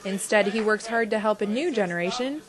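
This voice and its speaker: A young adult woman reporter and anchor reading scripted news in a crisp, measured way. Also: mid-range voice, over music. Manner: crisp, measured newsreader delivery.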